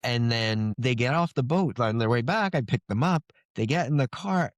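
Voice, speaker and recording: A man's voice: medium pitch, animated, moderate pace, dry recording, clean studio sound. A middle-aged man speaking as an interview guest, telling things in a casual, reflective, conversational way. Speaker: fantasy author, interview guest.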